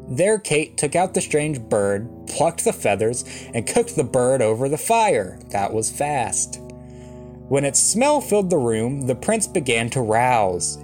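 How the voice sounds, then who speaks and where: A man's voice: medium pitch, a little mellow. A man reading a fairy tale as a storybook narrator, breaking off for dry, joking asides. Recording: plucked guitar music behind the voice. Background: plucked guitar music.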